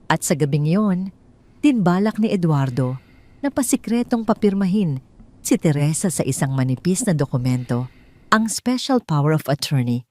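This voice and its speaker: A Filipino woman narrates a scripted audio drama in the role of storyteller. Her voice sits a little lower than most women's. She has a Filipino accent and reads the story in a dramatic narrative style. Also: darkish tone.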